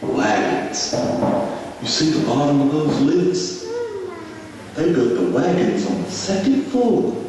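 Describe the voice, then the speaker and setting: A man's voice: on the high side, big pitch swings, slow pace, pauses often. A man playing a patient, teasing dad who explains things in a folksy, instructive way in a puppet show. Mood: patient, explaining. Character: patient, teasing dad explaining town history to his child. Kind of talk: scripted puppet show dialogue. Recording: echoey hall from far off, faint noise.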